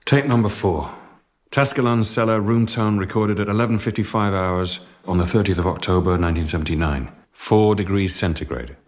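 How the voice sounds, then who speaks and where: An actor in a radio drama playing a wry sound engineer with a dry, understated manner. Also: male voice, deep voice, a little fast, lightly acted. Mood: matter-of-fact.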